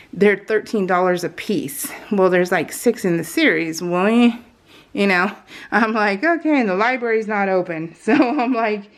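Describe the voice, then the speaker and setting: Chatty lady doing a craft vlog, normal pitch, rambles off topic, jokes about herself. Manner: chatty, self-deprecating, jokey, digressive. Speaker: craft vlogger. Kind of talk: casual, rambling chat while crafting.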